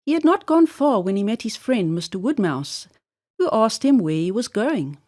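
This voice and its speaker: Animated, higher-pitched woman reading a children's story. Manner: expressive, deliberate storybook delivery. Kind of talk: children's story read-aloud narration.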